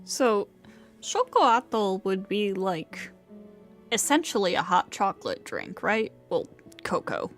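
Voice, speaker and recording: A woman's voice: medium pitch, sing-song, slow pace, a bit bright, soft background music. A woman livestreaming a game with casual, chatty and playful commentary.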